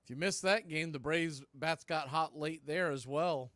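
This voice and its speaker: A man's voice: on the high side, unhurried, sharp tone, no echo. A man doing live radio baseball play-by-play, with punchy clipped calls and conversational asides.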